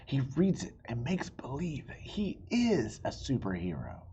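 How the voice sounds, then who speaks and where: A woman's voice: a bass voice, wildly swooping pitch, a little slow, dark tone. A woman reading a children's picture book aloud, expressively, with friendly asides straight to young listeners. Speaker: read-aloud storybook reader and host. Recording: dull lo-fi sound with faint noise.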